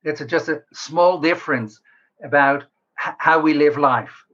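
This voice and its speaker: A man answering interview questions as a researcher, explaining in a measured way with pauses mid-sentence. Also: fairly high pitch, fairly bright tone. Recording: slightly dull lo-fi call audio.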